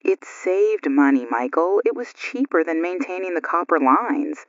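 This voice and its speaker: A woman's voice: lowish voice, expressive, normal speed, dry recording, lo-fi sound, close to an everyday voice. A woman playing an anxious radio news co-host during a blackout, in an audio drama. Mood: urgent, steadying.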